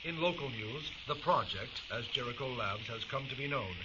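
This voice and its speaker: A male newscaster, played by an actor, reads an official wartime bulletin. He is stern, formal and clipped, at a medium pitch. The broadcast sounds dull and is buried in loud sizzling noise. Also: animated, moderate pace, close to an everyday voice. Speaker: newsreader. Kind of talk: scripted wartime news bulletin. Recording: dull radio broadcast under loud crackle.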